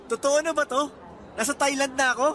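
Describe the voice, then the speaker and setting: An actor playing an excited young Filipino traveller in a radio drama, speaking in an earnest, conversational Filipino accent. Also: male voice, extremely high pitch, a little fast, faint music behind. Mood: excited, amazed. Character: excited young Filipino man on first trip abroad.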